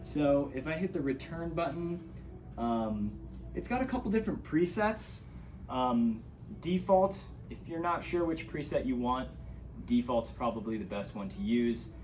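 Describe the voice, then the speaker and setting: Mellow-voiced man giving a casual tutorial. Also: mid-range voice, lively intonation, measured pace, a little hiss or hum. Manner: explanatory, step by step. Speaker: audio gear instructor. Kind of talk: casual hands-on product tutorial.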